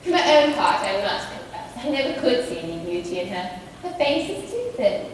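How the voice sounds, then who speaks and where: A woman's voice: medium pitch, sing-song, moderate pace, lightly acted. A woman in an amateur stage play, acting a jealous young society lady with an affected, arch, sweetly spiteful manner. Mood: catty. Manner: affected, arch, mock-genteel. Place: theatre stage, recorded from a distance. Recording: echoey hall, distant mic, faint noise.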